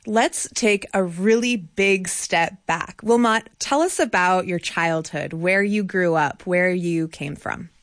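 Clear, even-voiced woman radio host. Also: fairly low pitch, dry recording, studio quality. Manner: measured, clear read of sponsor credits. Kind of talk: scripted radio introduction and interview questions.

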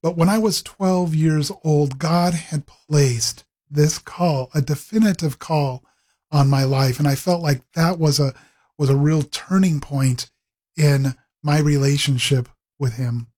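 Husky-voiced middle-aged man, rambling storyteller. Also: a little high, fairly even intonation, a little slow, a little mellow. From Pacific Northwest, USA. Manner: rambling with many fillers and restarts. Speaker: guest, ministry executive director. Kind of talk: conversational interview storytelling.

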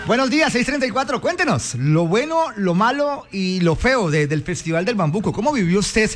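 A man's voice: high voice, big pitch swings, moderate pace, soft background music. A man hosting a morning radio show, upbeat and chatty with listeners. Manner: upbeat, reads listener messages. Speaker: radio host.

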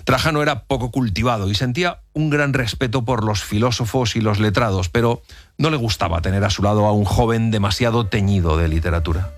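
A man narrating a historical documentary in an even, authoritative storytelling manner. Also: on the low side, fairly quick, no room sound. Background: faint music.

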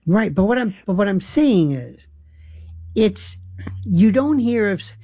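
A man hosts a casual talk, his voice mellow and warm. He is animated and halting, starting sentences over and filling gaps with "uh". The sound is dry and close. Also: high voice, no echo. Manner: animated, halting, many restarts and fillers. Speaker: host. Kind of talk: casual conversation.

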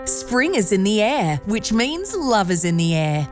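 A woman voicing a scripted radio advertisement with a smooth, upbeat sales delivery. Music plays clearly beneath her. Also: mid-range voice, very animated, a little slow. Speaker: ad voice-over.

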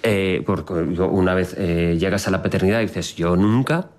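Low-voiced man hosting a radio chat. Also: moderate pace, a little mellow. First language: Catalan. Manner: chatty, teasing, lays out news point by point. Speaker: radio host. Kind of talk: casual chat on a radio show.

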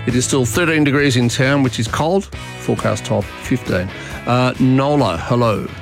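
A man's voice: mid-range voice, moderate pace, vocal fry, faint music behind. A man in his fifties with an Australian accent, hosting a conversational talk radio show with callers.

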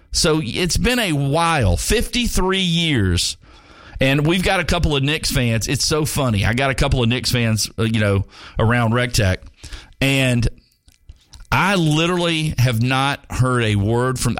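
A man's voice: a little high, a bit bright, no room sound. A man in his fifties hosting sports talk radio, rambling and joking with frequent restarts. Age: 50s. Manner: rambling, jokey, many restarts. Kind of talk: casual sports talk monologue.

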